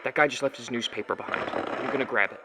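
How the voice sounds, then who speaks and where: A man's voice: medium pitch, rapid-fire, heavy background noise, natural voice. A young man playing a puzzled, polite boy with amnesia, hesitant and earnest, in an audio drama. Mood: puzzled, urgent.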